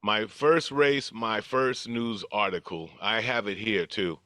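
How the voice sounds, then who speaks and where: A man's voice: fairly low pitch, slow, pushed and bright, dry recording. An older man telling stories from his life as a podcast guest, casual, chuckling through anecdotes and acting out dialogue. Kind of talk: casual reminiscing storytelling.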